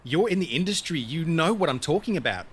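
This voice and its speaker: An actor playing an evasive, world-weary TV industry colleague in a naturalistic audio drama, casually placating and dismissive. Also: male voice, fairly high pitch, animated, brisk, slightly rough.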